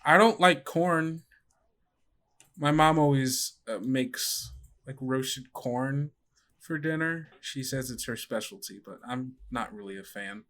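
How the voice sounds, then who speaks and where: A man's voice: mid-range voice, slow pace, fairly bright tone. A man voicing a young girl character in a tabletop game, speaking plainly and a little hesitantly. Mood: sheepish. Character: girl, a kid confessing a small secret plainly.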